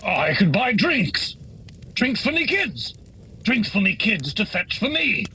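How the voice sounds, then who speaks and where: A man's voice: high voice, very animated, takes it slowly, sharp tone, slight background noise, full character voice. A man playing a bumbling, greedy working-class husband in a radio play, overwrought and comically pompous. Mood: dazed greed. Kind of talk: holiday radio play acting.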